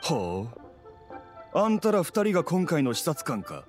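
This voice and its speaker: A man's voice: fairly high pitch, wildly swooping pitch, a little slow. Haughty man with swooping intonation. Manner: haughty, blunt. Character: arrogant, condescending male scholar-official in a fantasy game. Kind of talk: Japanese video game voice acting. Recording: clear music behind the voice.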